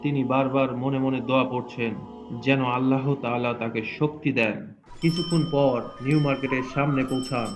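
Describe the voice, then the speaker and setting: A man's voice: medium pitch, steady tone, moderate pace. A man narrating a scripted cartoon story as its storyteller. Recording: dull, lo-fi sound under loud music. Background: music.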